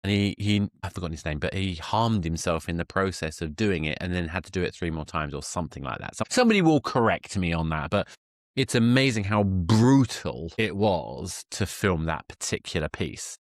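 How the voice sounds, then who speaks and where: Male interviewer, deep voice, discursive and reflective conversational delivery, dry close recording. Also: animated, normal speed, no echo. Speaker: podcast host, interviewer.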